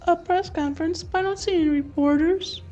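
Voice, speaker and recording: A man voicing a sweet, innocent, childlike anime girl in a visual novel, in falsetto. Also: fairly even intonation, a little fast, darkish tone, muffled recording, soft background music. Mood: puzzled.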